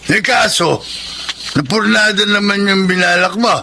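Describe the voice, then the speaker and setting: A man's voice: high-pitched, measured pace, vocal fry, pushed and bright, slight background noise, heavily acted. A man playing a nosy, incredulous drinking buddy in a Tagalog radio drama. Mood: curious, doubtful. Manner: inquisitive, incredulous.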